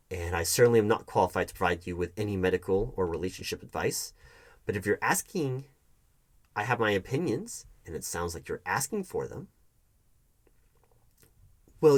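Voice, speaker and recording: A man playing a hurt, defensive hiring manager in an audio roleplay, talking to his ex in a halting, sarcastic way. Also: fairly low pitch. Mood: guarded, exasperated. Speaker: roleplay performer. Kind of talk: one-sided audio roleplay acting.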